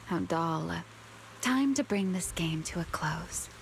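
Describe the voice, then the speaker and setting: A woman voicing a cool, haughty wanted criminal in an anime game, teasing and disdainful in an unhurried way. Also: lowish voice, animated, a little hiss or hum, poor audio. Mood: bored, taunting.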